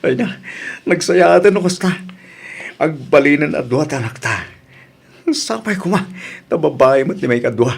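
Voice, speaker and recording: A hot-tempered, domineering husband in an Ilocano radio drama. He speaks with an Ilocano accent and a gruff, bossy manner. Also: fairly high pitch, animated, normal speed, pauses often, heavily acted. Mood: casual.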